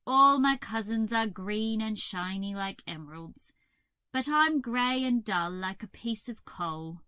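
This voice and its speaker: A gloomy little male toad from a storybook, acted out by a woman, humble and self-pitying. The words drag out mournfully in a slow, close, dry sound. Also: mid-range voice, expressive, pushed and bright, clean tone, no echo.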